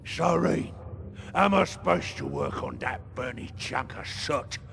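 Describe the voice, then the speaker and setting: Extremely gravelly Cockney ork underling. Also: male voice, medium pitch, wildly swooping pitch, a little slow, exaggerated voice. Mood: sceptical, grumbling. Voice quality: very gravelly, croaking. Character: whiny, dim, doubtful ork underling. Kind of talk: video game cutscene acting. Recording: noisy game battle ambience.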